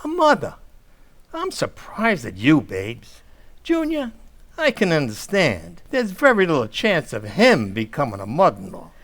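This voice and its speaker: A man's voice: on the high side, wildly swooping pitch, unhurried, fairly bright tone, exaggerated voice. A man playing a middle-aged, blustering working-class family man in old-time radio comedy style, with folksy ungrammatical speech and comic stammers. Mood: indignant lecturing.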